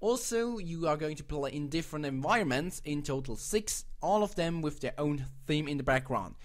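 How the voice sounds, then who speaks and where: A man's voice: on the high side, lively intonation, moderate pace. A man from Switzerland with a Swiss accent, speaking English as a non-native host in a casual, rambling, playful commentary, often correcting himself. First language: a language other than English. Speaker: host of a video channel. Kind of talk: casual commentary on game crowdfunding projects.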